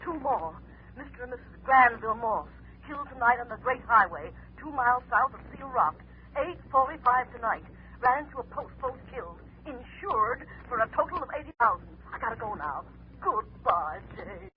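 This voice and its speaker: A woman's voice: fairly high pitch, expressive, moderate pace. A woman playing a cool, secretive accomplice who reports by telephone in a clipped, businesslike way in a 1940s radio drama. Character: furtive female accomplice coolly reporting deaths by telephone. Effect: telephone filter. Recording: tinny phone line, noisy old radio recording.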